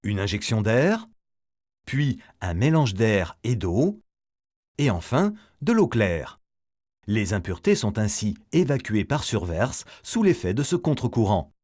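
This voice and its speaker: A man's voice: fairly low pitch, very animated, measured pace, darkish tone. A man reading a scripted educational narration as the narrator of an explainer film, setting things out in a didactic, step-by-step way.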